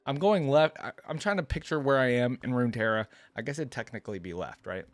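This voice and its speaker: A man's voice: medium pitch, expressive, fast talker, no room sound. A man hosting a reaction video, reading a story aloud and breaking off into casual, musing commentary with hesitant asides.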